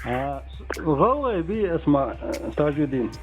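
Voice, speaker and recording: High-voiced man on a tinny phone line. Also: very animated, rapid-fire. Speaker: phone caller questioning the other man. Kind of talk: informal phone argument. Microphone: telephone. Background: music.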